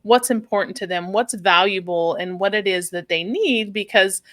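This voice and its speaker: A woman's voice: medium pitch, normal speed, fairly bright tone, dry recording. Chatty woman podcast host, dry sound. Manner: chatty, rambling, with many fillers. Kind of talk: podcast interview conversation.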